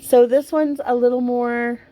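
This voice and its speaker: A woman's voice: a little high, little pitch movement, a little slow, mellow. A woman giving casual, chatty hobby commentary in an informal, rambling and effusive way. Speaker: doll hobbyist vlogger. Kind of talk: casual, chatty commentary while dressing a doll.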